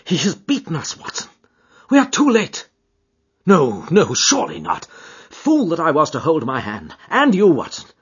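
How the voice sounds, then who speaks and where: A man's voice: on the high side, big pitch swings, normal speed, slightly rough, muffled recording, voice pushed up. A man voicing a keen, commanding detective who speaks in formal Victorian phrases, emphatic and urgent, in an audiobook. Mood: anguished anger. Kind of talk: dramatised audiobook character dialogue.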